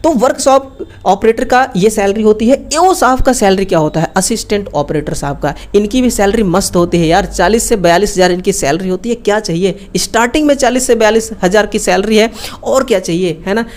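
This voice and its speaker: A woman teaches an exam-prep class with a mid-pitched voice. She explains things informally, in colloquial, chatty language. She often adds little check-in tags as she goes. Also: brisk, darkish tone. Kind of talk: informal explanatory online lesson.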